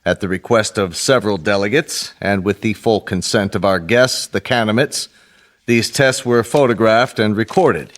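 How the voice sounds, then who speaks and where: Man playing low-voiced, composed diplomat chairman. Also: normal speed, lightly acted. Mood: formal, businesslike. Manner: formal, orderly, assured. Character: composed, authoritative male senior diplomat chairing meeting. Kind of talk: radio drama acting. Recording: faint background noise, clicks.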